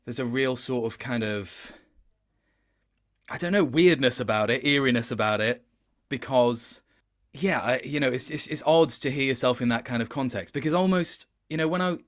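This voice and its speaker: A man chatting as an interview guest about his work, reflective, often restarting and correcting himself mid-sentence. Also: mid-range voice. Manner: reflective, self-correcting, halting phrasing. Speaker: interview guest, video game voice actor. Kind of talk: casual interview chat.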